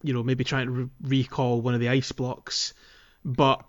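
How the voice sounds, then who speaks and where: A man's voice: mid-range voice, flat delivery, moderate pace. A man hosting a gaming podcast in casual, informal conversation. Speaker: podcast host. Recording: dull, lo-fi voice recording.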